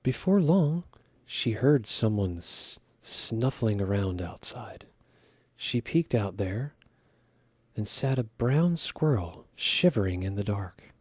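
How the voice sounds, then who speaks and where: A man reading a children's story aloud with expressive narration. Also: fairly low pitch, slow pace, breathy edge, no echo.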